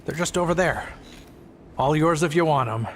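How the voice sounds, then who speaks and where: A man's voice: on the high side, normal speed, a little hiss or hum, close to an everyday voice. A man voicing a weary, guilt-burdened family man in a video game, hesitant and confessional. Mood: weary, resigned.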